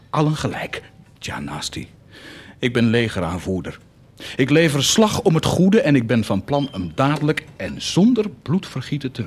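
A man's voice: medium pitch, a little hiss or hum, close to an everyday voice. A man playing a proud, wildly swinging zealous commander. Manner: declamatory, swinging from confiding to indignant. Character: proud, grandiose army commander turned zealous do-gooder. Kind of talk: theatrical radio drama acting.

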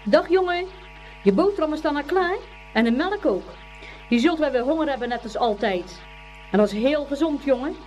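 A woman's voice: high voice, expressive, normal speed, darkish tone, soft background music, low-quality recording. A woman playing a caring, cheerful mother of a schoolboy in a Dutch radio play, speaking fondly. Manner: motherly, fond.

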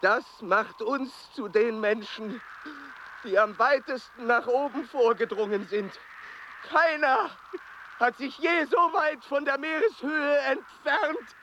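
An actor playing an exhausted, pedantic explorer in a radio play, speaking in a halting, strained way. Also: male voice, very high voice, sharp tone, muffled recording, slight background noise, full character voice. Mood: excited, urgent. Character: exhausted, pedantic explorer struggling at high altitude.

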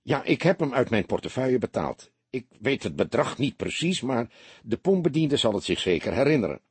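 A man's voice: mid-range voice, big pitch swings, a little fast, darkish tone, breathy edge, no room sound, voice pushed up. A man voicing an earnest, polite businessman explaining himself in an audiobook. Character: earnest, round-faced businessman giving his account.

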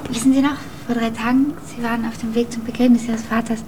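A woman's voice: a little high, flat delivery, fast, close to an everyday voice. An actress in a German radio drama playing a frank, melancholy young woman who confides hesitantly. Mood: probing.

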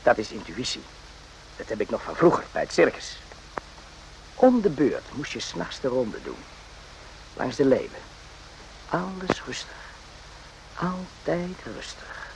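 An actor in a radio play voicing a brooding, rambling soldier who muses haltingly and repeats himself. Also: male voice, mid-range voice, huge pitch swings, creaky, a little hiss or hum, full character voice, rough recording. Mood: brooding, uneasy. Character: brooding, rambling soldier, former circus night watchman.

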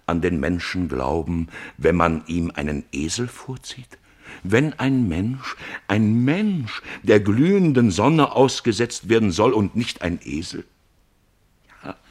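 Casting: a self-righteous arch-priest for radio drama, low voice, oratorical, swooping emphatically. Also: male voice, husky, full character voice. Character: grandiloquent male arch-priest, fervent, combative and self-righteous. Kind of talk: German radio play acting.

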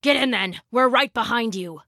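A woman doing male ship captain, forceful, urgent, commanding, bellowed orders, storybook uncle. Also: a little high, normal speed, dry recording, studio quality.

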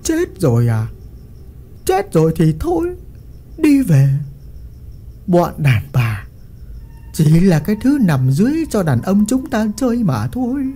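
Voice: mid-range voice, huge pitch swings, unhurried, pauses often, mellow, husky, faint music behind, exaggerated voice. A storyteller voicing a cruel, arrogant young master who barks orders at his servants. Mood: gloating. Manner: bossy, barking orders.

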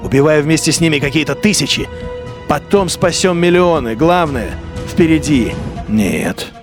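A man's voice: on the high side, animated, normal speed, music in the background, close to an everyday voice. An actor playing a Russian collaborator officer who justifies his treason in a radio play inner monologue, brooding and self-justifying, swinging between murmurs and outbursts.

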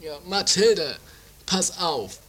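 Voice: medium pitch, sing-song, takes it slowly, fairly bright tone, husky, exaggerated voice. A performer playing a cheeky, put-upon companion who teases and complains in an improvised comedy radio play. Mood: cheeky, whining.

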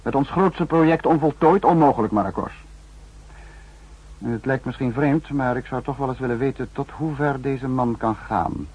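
An actor playing a calculating senior spymaster in a 1960s radio drama, speaking in a measured, probing, formal way. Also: male voice, medium pitch, lightly acted. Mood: cool, calculating. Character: calculating male spymaster, senior protector of secret service. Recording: dull, faintly husky 1960s radio recording.

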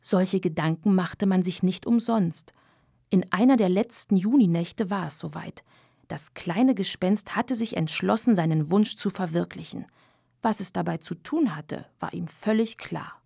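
A woman's voice: on the low side, unhurried, creaky, dark tone, slightly rough, no echo, studio quality. A woman narrating a scripted children's story as the narrator of a radio play.

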